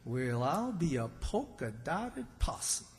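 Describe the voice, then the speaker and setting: A man playing a gullible, excitable country sidekick with a folksy, bewildered manner in an old-time radio comedy. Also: mid-range voice, takes it slowly, soft background music. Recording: reverberant hall stage recording.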